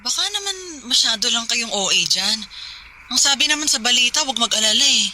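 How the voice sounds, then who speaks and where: A Filipino woman playing a calm, skeptical working wife in casual, conversational radio drama dialogue. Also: a little high, brisk, slight background noise, low-quality recording. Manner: casual, reassuring, mildly dismissive. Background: music.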